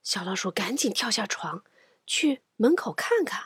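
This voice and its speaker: A woman's voice: medium pitch, very animated, a little slow, slightly rough, no room sound, clean studio sound. A woman narrating a picture book for children, playful and dramatic, often dropping into a whisper. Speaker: children's storyteller.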